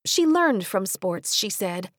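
Woman, American accent, audiobook narration, animated intonation, measured storytelling, crisp articulation, dry close-miked studio. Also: medium pitch, no room sound. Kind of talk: scripted nonfiction narration for young readers. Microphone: close studio microphone.

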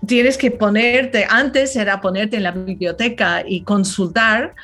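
A woman's voice: mid-range voice, measured pace, a bit bright, soft background music. A woman translator and scholar who grew up in the Philippines and California, answering interview questions reflectively with frequent restarts.

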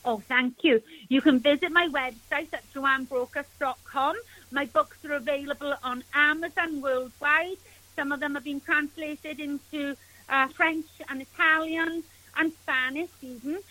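A woman's voice: sits high, fairly even intonation, unhurried, sharp tone, low-quality recording. A woman with a Welsh accent, a spiritual healer speaking as a guest on a radio interview, earnest and gushing with thanks.

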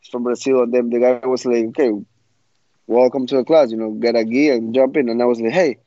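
A man's voice: medium pitch, fairly even intonation, fairly quick, warm tone, no room sound, dull audio. A young man from Ecuador, giving frank, blunt interview answers full of restarts. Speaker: guest fighter.

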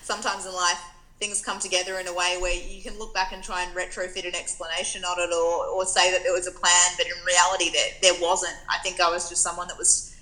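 A young woman speaking as an interview guest. Her voice sits a little low but sounds bright and forceful. She tells her story reflectively, often restarting her sentences. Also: little pitch movement, brisk, poor audio. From Australia. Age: 20s. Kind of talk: conversational interview answers.

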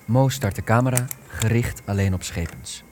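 A man's voice: fairly low pitch, moderate pace, a little mellow, soft background music, natural voice. A man narrating stage directions in a scripted radio play.

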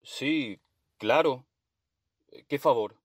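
A man's voice: mid-range voice, drawn-out words, no echo, clean studio sound. A man playing a courteous, concerned married father in a radio drama.

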